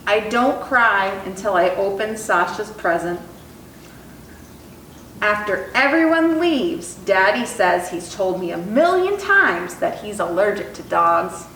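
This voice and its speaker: Slow, very animated woman reading a storybook. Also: medium pitch. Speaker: storytime reader. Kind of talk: expressive children's picture book read-aloud. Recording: roomy echo, distant mic, faint noise.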